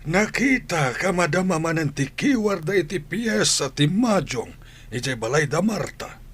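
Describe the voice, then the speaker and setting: Guy acting as scolding old ilocano grandpa, husky and kinda high, swingy radio drama delivery. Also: sing-song, unhurried, a bit bright, exaggerated voice. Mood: disapproving. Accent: Ilocano Filipino. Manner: admonishing. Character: stern, concerned old grandfather scolding his gambling daughter.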